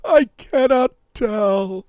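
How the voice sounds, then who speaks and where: A man's voice: animated, extremely slow, a little mellow, clean tone, dry recording, studio quality, heavily acted. A man voicing an honest, emotional merchant in a fairy tale, speaking in fervent, old-fashioned declamation. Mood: terrified, pleading. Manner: high, fervent, archaic declamation. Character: honest merchant, doting father, emotional and pleading.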